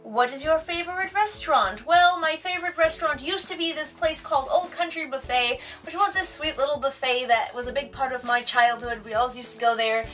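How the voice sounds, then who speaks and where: A woman's voice: high-pitched, brisk, few pauses, fairly bright tone, distant mic, soft background music. A woman in her thirties with a Wisconsin American accent, reading tag questions aloud at home and answering them with a dry, joking manner. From United States. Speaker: vlogger. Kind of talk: casual question tag vlog. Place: home room with bookshelves, indoors. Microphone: camera mic at a distance.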